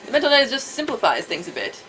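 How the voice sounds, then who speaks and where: A woman's voice: fairly high pitch, sing-song, fast talker, pushed and bright. A woman hosting a let's play, reading game dialogue aloud and bantering in a teasing, sarcastic way. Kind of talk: playful reading of visual novel dialogue with casual banter. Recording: background game music.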